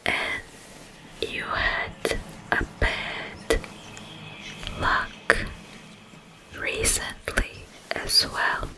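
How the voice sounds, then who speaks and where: A woman voicing a soothing yet menacing sleep paralysis demon in an ASMR roleplay, in a breathy whisper with halting, drawn-out phrases. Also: rough recording. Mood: mock-sympathetic.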